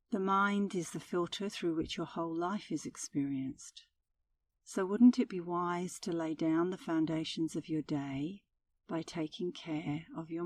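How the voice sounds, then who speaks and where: Female meditation guide: lowish, warm and relaxed voice, unhurried and soothing, gentle instructional narration. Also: recorded from a distance.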